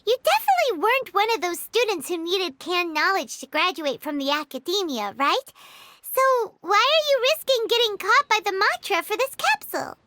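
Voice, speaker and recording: A woman voicing a tiny, excitable, childlike fairy companion in a video game, with a squeaky, bubbly delivery. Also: normal speed, exaggerated voice. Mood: nosy, suspicious. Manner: bubbly, exclamatory, refers to self in third person. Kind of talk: English video game voice acting.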